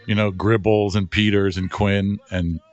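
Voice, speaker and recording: A man co-hosting a sports radio show, chatting in a quick, offhand way. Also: fairly low pitch, level tone, no echo, muffled recording, faint music behind. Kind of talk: casual sports radio banter.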